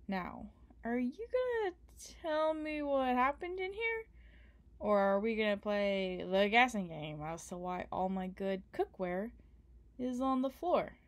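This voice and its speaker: A woman's voice: on the high side, big pitch swings, slow pace. A young woman doing an ASMR roleplay as a partner, playful, affectionate and teasing. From Canada. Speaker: ASMR performer.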